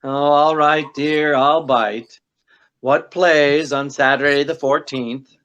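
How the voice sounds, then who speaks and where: A man's voice: fairly high pitch, steady tone, slow pace, fairly bright tone, clear, resonant tone, lo-fi sound. A man playing a suave, wry, affectionate detective husband in 1940s radio acting style. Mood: wry, indulgent. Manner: wry, debonair.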